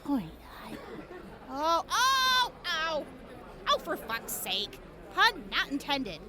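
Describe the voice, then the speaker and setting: A woman's voice: sits high, huge pitch swings, takes it slowly, heavy background noise, exaggerated voice. A woman playing a blunt, sardonic wasteland medic in an audio drama, with an irreverent manner. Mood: exasperated.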